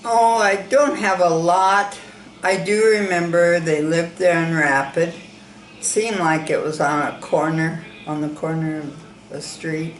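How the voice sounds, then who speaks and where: A woman's voice: fairly low pitch, fairly even intonation, a little slow, roomy sound, a little hiss or hum, low-quality recording. A woman from South Dakota sharing childhood memories in a family interview, plain-spoken and rambling, recorded in a room of a ranch home. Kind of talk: reminiscing in an interview.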